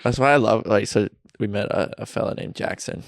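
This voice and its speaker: Young guy podcasting from a basement, kinda low and gravelly, dry close mic, chill rambling. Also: a little fast, no room sound. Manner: laid-back, frequent "like" and "yeah" fillers. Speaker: podcast co-host. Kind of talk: casual chat.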